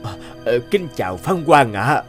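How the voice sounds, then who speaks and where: A man's voice: medium pitch, very animated, unhurried, music in the background. A man playing a grieving, upright old official and devoted father in a cartoon, with an emotional, imploring manner. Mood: weary, humble. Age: older. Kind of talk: animated cartoon dialogue.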